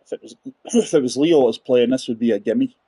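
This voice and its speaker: A man's voice: medium pitch, fairly quick, dark tone, slightly rough, dry recording. A man in his forties giving chatty live commentary on a golf game, talking straight to his viewers. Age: 40s. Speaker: game streamer.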